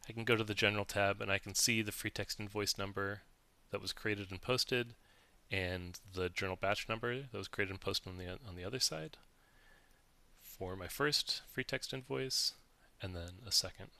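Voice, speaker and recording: A man giving a methodical, explanatory walkthrough as a software demo presenter, recorded close on the mic. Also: fairly low pitch, normal speed, vocal fry. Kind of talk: instructional screen-share walkthrough, semi-scripted.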